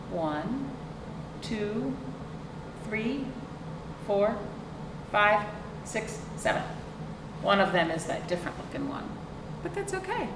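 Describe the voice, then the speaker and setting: A woman reads a picture book to young children at an unhurried pace, with lively swings in pitch. She is expressive and turns to her listeners with questions. The sound is roomy, picked up from a distance. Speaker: librarian hosting a children's storytime. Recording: roomy, distant microphone, clear background noise.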